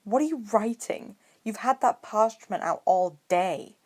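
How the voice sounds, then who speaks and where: A woman's voice: mid-range voice, animated, measured pace, pitched above the performer's own voice. Woman doing a haughty, petulant schoolboy. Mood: nosy, petulant. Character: haughty, nosy, sarcastic pale schoolboy.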